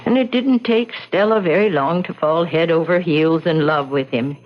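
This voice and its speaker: Actress as a frightened, cringing housekeeper, old radio drama. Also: female voice, lowish voice, lightly acted. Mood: sorrowful recounting. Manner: anxious, halting, repeats words, exclaims "Oh". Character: frightened, cringing little housekeeper, superstitious and sorrowful. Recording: dull, lo-fi old radio recording.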